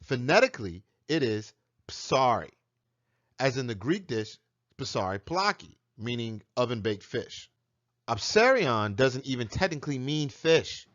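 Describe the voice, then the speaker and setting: A man's voice: mid-range voice, big pitch swings, unhurried, a bit bright, no echo. Man making a rhetorical scripture argument. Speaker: religious teacher. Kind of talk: scripture teaching monologue. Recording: dull lo-fi dry recording.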